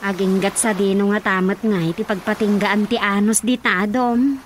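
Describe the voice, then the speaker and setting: A woman's voice: medium pitch, fairly even intonation, moderate pace, slight background noise, close to an everyday voice. A woman playing a concerned, soothing confidante in a radio drama, with an Ilocano accent.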